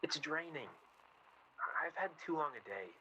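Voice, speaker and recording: Actor playing an earnest, very bright-voiced young man. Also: fairly high pitch, lively intonation, slight background noise, low-quality recording. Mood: weary, defensive. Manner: earnest, defensive, halting. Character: earnest, exasperated young man, defensive then contrite. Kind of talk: radio play dialogue, naturalistic period drama.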